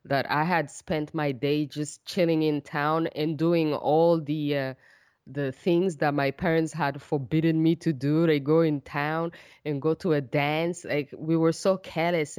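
Deep-voiced, smooth Rwandan woman, speaking reflectively. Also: a little mellow, dry recording, clean studio sound. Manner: halting, thoughtful, searching for words. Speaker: interview guest, writer. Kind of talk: reflective personal storytelling in an interview.

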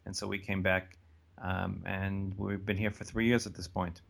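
An American man around seventy, answering interview questions in a relaxed, thoughtful way that wanders and restarts. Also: deep voice, normal speed, creaky. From United States. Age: about 70. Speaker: interview guest. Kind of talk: casual conversational interview.

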